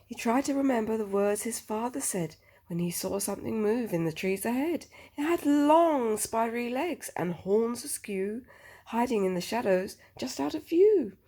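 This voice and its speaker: A woman with a husky voice reading a picture book aloud to a child, expressively, with chatty questioning asides. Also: a little high, expressive, moderate pace, dark tone.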